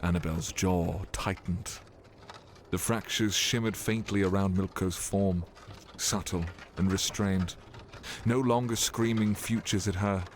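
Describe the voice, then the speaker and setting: Very low-voiced man narrating fantasy. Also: slight background noise. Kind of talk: scripted fantasy story narration.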